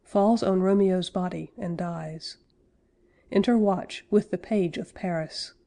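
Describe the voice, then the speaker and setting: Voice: medium pitch, takes it slowly, gravelly, mellow. A narrator reading out stage directions plainly for a play audiobook.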